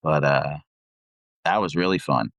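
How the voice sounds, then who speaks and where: A man's voice: a bass voice, measured pace, gravelly, a bit bright, no echo. A man who sings in a band, chatting as a guest and telling tour stories in a laid-back, slangy, sweary way. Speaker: band vocalist, interview guest.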